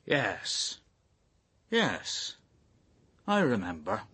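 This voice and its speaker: A surly, defiant father in a radio drama. His voice sits low and rough, and he throws out brusque, bitter replies with sharp swings of pitch. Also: wildly swooping pitch, drawn-out words, no echo, heavily acted. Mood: guarded. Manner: curt, brusque.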